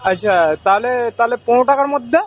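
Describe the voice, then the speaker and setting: A man's voice: extremely high pitch, expressive, brisk. A man making a prank phone call, persistent and feigning earnestness, on a lo-fi recording. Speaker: prank caller. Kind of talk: improvised phone conversation. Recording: dull lo-fi phone call, faint noise.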